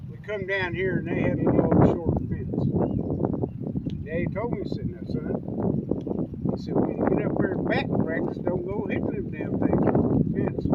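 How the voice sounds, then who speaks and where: Older folksy man, muffled by loud wind. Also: extremely high pitch. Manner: folksy, colloquial grammar; halting, repeats words. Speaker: guest storyteller, uncle of the vlogger. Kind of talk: casual reminiscing storytelling. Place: outdoors on a windy riverbank. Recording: muffled distant mic, loud wind noise.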